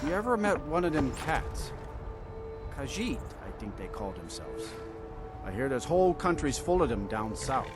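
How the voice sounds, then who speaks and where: Chatty, lively carriage driver played by a man. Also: medium pitch, normal speed, music in the background, lightly acted. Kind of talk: video game character dialogue.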